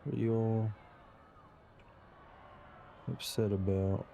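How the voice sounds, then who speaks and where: Male streamer, low creaky voice, dark tone, dry offhand asides, light noise behind. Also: level tone, takes it slowly. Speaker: game streamer. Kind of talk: casual live gameplay commentary.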